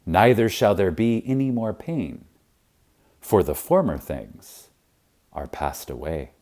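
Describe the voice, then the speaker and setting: A man narrating a spiritual audiobook in a reverent, emphatic manner with dramatic pauses. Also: fairly low pitch, very animated, darkish tone. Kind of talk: scripted audiobook reading of spiritual teaching.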